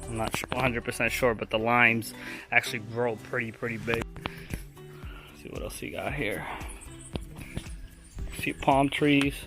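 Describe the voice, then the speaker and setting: A man's voice: medium pitch, steady tone, measured pace, pauses often, over music. A man from Puerto Rico talking casually to his viewers as he tours and tastes things. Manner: chatty, addresses viewers directly. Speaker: travel vlogger. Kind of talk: casual travel vlog.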